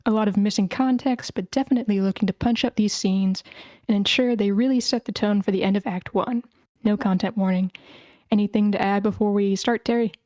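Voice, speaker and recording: Female host, mellow medium-pitched voice, informal and chatty, dry recording. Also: steady tone, fairly quick, dry recording. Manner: informal, chatty, thinking aloud. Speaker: host of an online script table read. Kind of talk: casual event hosting.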